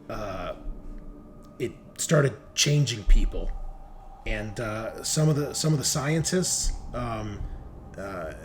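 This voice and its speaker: A man's voice: on the low side, background noise, pitched below the performer's own voice. A man playing a shaken, dazed crewman just out of cryosleep in a tabletop game, stammering through broken recollections. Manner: halting, stammering, fragmented recollection.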